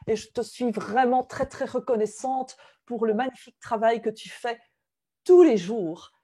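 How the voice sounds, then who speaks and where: A woman hosting a video-call interview, upbeat, laughing often and pausing with fillers. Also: a little high, mellow, breathy edge. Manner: upbeat, with frequent laughs and hesitations. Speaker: interview host.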